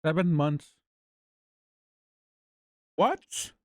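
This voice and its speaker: A man's voice: a little high, lively intonation, extremely slow, no room sound, clean studio sound. A man giving blunt, profane, informal reaction commentary as a streamer.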